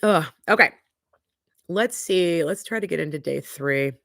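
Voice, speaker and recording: Stuffy-nosed woman rambling her opinions on a livestream, lowish voice, super dry sound. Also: expressive, a little slow, vocal fry, a bit bright, no room sound. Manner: chatty, opinionated, blunt, lots of filler "like". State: congested, sniffly. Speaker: livestream host. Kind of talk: casual chat, trial recap. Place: home livestream.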